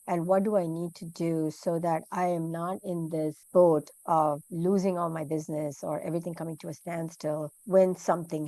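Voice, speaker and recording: A middle-aged woman from Malaysia, a food business owner answering interview questions in a quick, chatty way with lots of "you know". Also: sits low, warm tone, dry recording. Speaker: guest, food entrepreneur.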